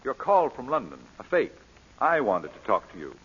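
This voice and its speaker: An actor playing a lovestruck, persistent lord with urbane, theatrical speech in an old radio drama. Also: male voice, mid-range voice, wildly swooping pitch, moderate pace, a bit bright, a little hiss or hum. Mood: ardent. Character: lovestruck, persistent English lord, playful and self-mocking. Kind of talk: 1930s-40s radio drama acting. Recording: dull vintage radio broadcast.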